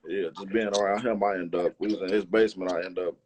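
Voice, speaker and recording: Low-voiced slangy man chatting casually. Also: steady tone, fast talker, a little mellow, dull audio, slight background noise. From Chicago, United States. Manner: slangy, profane, informal. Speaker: rapper, interview guest. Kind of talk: casual chat. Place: remote livestream interview.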